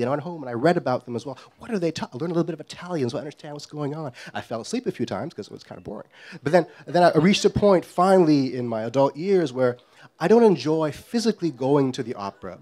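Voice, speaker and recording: A man's voice: on the high side, darkish tone. A middle-aged man from Guyana telling a comic story on stage in a wry, self-deprecating way. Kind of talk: live comedic storytelling.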